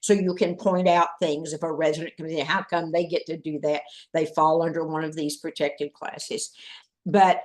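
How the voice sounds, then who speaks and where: A woman's voice: low-pitched, flat delivery, moderate pace, a little mellow. Blunt woman trainer, matter-of-fact. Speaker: fair housing trainer. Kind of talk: webinar panel discussion.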